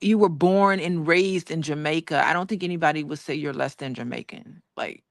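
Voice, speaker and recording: A woman in casual political chat who jumps in with lively questions. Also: sits low, normal speed, no echo, clean studio sound. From Illinois.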